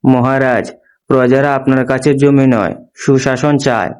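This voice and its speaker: Man voicing a calm, clear-voiced wise girl. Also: medium pitch, unhurried, natural voice. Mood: earnest. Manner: calm, reassuring, earnest. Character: wise, brave young woodcutter's daughter, calm. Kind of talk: moral story cartoon dialogue.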